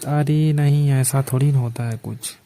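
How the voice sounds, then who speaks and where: A man plays a pushy neighbour on an intimate phone call, with a very dark, mellow voice at a middling pitch. The sound is dry, and he coaxes and gossips colloquially. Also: steady tone, unhurried, dry recording, lightly acted. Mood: coaxing, insistent. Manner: colloquial, coaxing, insistent.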